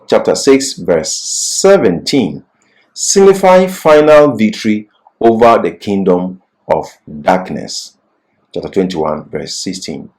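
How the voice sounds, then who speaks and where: A man's voice: mid-range voice, darkish tone, low-quality recording. A man giving an expository Bible lecture as a teacher, repeating key phrases for emphasis.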